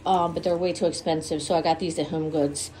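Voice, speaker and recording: Congested woman, mellow low voice, chatty vlogger narrating a handheld phone tour of her house. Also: fairly even intonation, fast talker, slight background noise, low-quality recording. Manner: chatty, listing where items were bought. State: congested from a cold. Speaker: home vlogger. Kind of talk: casual home tour narration. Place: house interior, walking room to room. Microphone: handheld phone camera.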